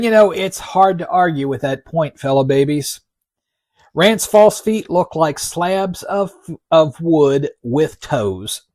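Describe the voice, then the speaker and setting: A man hosting a podcast, narrating in a folksy, wry and measured way, reading out quotes with deliberate emphasis. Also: on the high side, darkish tone, no room sound, clean studio sound. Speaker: podcast host. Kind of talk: narrated podcast storytelling with read-out article quotes.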